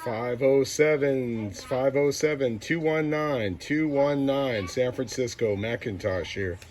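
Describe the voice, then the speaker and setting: Through heavy static and hiss comes a man with a medium-pitched voice, making CB radio calls. He speaks in clipped slang and repeats callsigns and numbers as an operator does. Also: takes it slowly. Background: loud radio noise.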